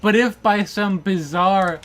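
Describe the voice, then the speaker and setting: A woman's voice: mid-range voice, takes it slowly. A woman co-hosting in casual banter, with a wry, teasing and skeptical way of talking. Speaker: co-host.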